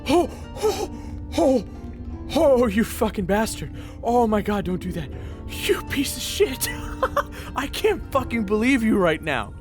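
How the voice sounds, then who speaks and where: A man's voice: very high-pitched, big pitch swings, takes it slowly, voice pushed up, full character voice. A man voicing a brash, sarcastic young swordsman companion who swears freely, in an audio roleplay. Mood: outraged. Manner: sarcastic, foul-mouthed. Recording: loud game music behind.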